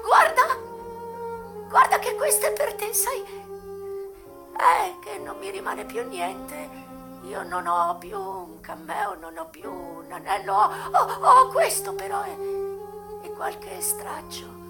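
A woman voicing a dying old ex-courtesan aunt in a radio monologue, with a clucking, tearful voice and halting, pleading delivery. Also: extremely high pitch, sing-song, vocal fry, over loud music, pitched above the performer's own voice, full character voice.

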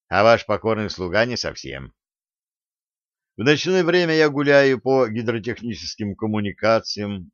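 A man's voice: fairly low pitch, dry recording, lo-fi sound. A man reading a first-person novel aloud as an audiobook narrator.

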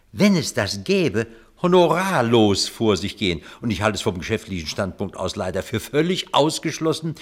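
An actor in a German radio play playing a sceptical, pedantic business manager who reasons officiously. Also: male voice, medium pitch. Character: pedantic, sceptical male business manager, fussy about money.

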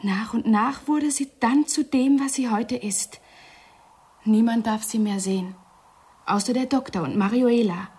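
Casting: fearful village woman, very husky, slightly high voice, ominous storyteller, vintage radio drama. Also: measured pace, darkish tone. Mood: fearful warning. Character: superstitious village woman, fearful, warning a foreign gentleman. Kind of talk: 1970s German radio play acting.

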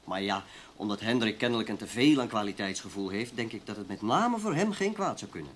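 Actor as animated, needling newspaper journalist. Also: male voice, fairly low pitch, a little fast, a little hiss or hum, natural voice. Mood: teasing, needling. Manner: teasing, sardonic. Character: cocky, needling, critical male newspaper journalist. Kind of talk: Dutch radio play acting.

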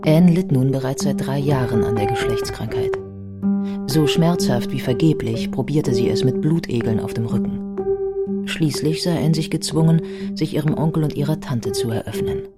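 An actress playing a wry, sober biographer who narrates a life story in a scripted radio drama. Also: female voice, sits low, big pitch swings, moderate pace. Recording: prominent music behind the voice.

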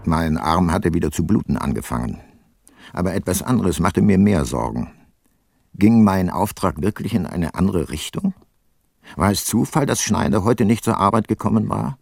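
Laconic detective narrator for radio crime drama: low-pitched, dry studio sound, sceptical, understated. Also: male voice, expressive, moderate pace, creaky, no echo, exaggerated voice. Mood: sober, reflective. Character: dry, sceptical private detective, male, narrating his case.

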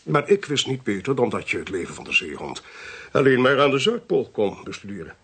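An actor playing a drily witty, inquisitive gentleman investigator in a Dutch radio drama, with a theatrical, deliberate delivery. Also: medium pitch, sing-song, husky, heavily acted. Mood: curious, wry.

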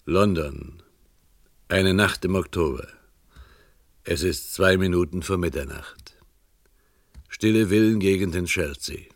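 Deep, dry male radio announcer. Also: no room sound. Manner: formal, measured short phrases. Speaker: radio play announcer and narrator. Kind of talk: 1970s German radio introduction, scripted scene-setting narration.

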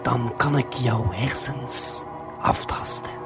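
A man narrates a surreal audio play straight to the listener, with intimate, deliberate, hypnotic phrasing. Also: mid-range voice. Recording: music under the voice. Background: music.

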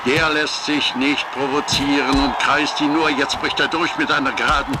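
An actor playing a boxing commentator in a radio play, following a fight blow by blow. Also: male voice, medium pitch, fairly even intonation, moderate pace, over a noisy crowd, heavy background noise, lightly acted. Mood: tense observation.

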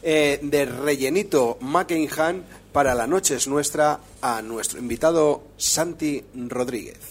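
A man's voice: fairly high pitch, measured pace, husky. A man hosting a comedy radio show, playfully asking absurd questions. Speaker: radio host.

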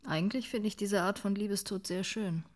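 A woman's voice: medium pitch, fairly quick, natural voice. An actress playing a cool, irritated, sarcastic woman in a radio drama. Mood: wry. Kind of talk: German radio drama acting.

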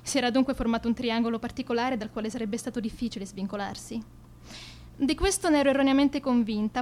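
Radio play: woman as haughty schoolgirl band leader, fairly high voice, florid formal diction, theatrical and self-important. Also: close to an everyday voice. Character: arrogant, egotistical teenage schoolgirl, leader of a metal band. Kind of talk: theatrical scripted monologue.

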